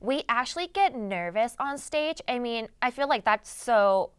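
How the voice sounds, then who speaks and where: Clear-voiced young woman from Thailand. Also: a little high, lively intonation, unhurried, fairly bright tone, no room sound. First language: Thai. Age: young adult. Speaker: interview guest, pageant titleholder and journalism student. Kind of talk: casual interview answers.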